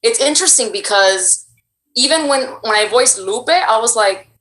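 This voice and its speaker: A woman's voice: on the high side, expressive, normal speed, a bit bright. A woman with a Cuban Miami accent, a voice actress chatting animatedly in an interview and laughing often. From Miami, United States. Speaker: voice actress and creator. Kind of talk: casual interview chat. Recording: roomy echo.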